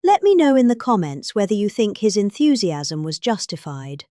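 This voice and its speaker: British woman, mock-serious and highly animated. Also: moderate pace, no echo, clean studio sound. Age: middle-aged. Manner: mock-serious, theatrically emphatic. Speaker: presenter reading out a politician's speech. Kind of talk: dramatic satirical reading of a speech.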